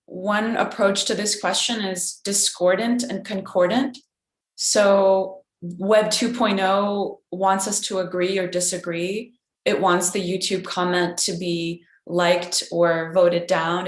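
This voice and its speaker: A woman poet answering a panel question on a video call, thinking aloud in academic terms and often restarting her phrases. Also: on the low side. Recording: echoey room sound.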